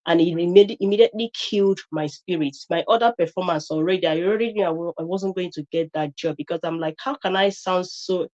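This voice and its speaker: A woman's voice: on the low side, quick pace, hardly any pauses, darkish tone, muffled recording. A woman from Nigeria with a Nigerian accent, a guest on a live stream, talking emphatically with many fillers and restarts.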